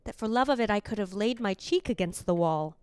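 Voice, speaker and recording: A woman playing the wistful, fastidious ghost of a dead wife in a measured, formal radio drama monologue. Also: mid-range voice, animated, darkish tone, smooth, dry recording, natural voice. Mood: bewildered.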